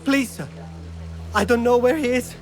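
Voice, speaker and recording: A man's voice: extremely high pitch, moderate pace, music in the background. A man playing a servile, frightened lackey in a video game, begging for mercy. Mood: fearful begging. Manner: pleading, fearful. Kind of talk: video game character acting.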